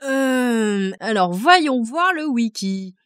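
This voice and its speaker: Upbeat woman, casual answers, higher pitch. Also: lively intonation, drawn-out words, fairly bright tone, clear, resonant tone, dry recording, clean studio sound. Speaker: fandub group member. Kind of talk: casual answers to viewer questions.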